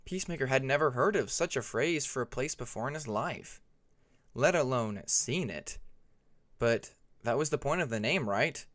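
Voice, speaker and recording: A man's voice: mid-range voice, expressive, normal speed, a bit bright, dry recording. A man reading a scripted story aloud as an audiobook narrator.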